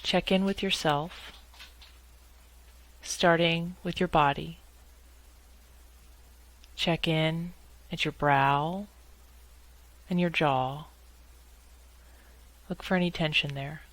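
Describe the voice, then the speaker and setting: Measured woman leading a guided meditation. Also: sits low, fairly even intonation. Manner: measured, instructional, with pauses between short phrases.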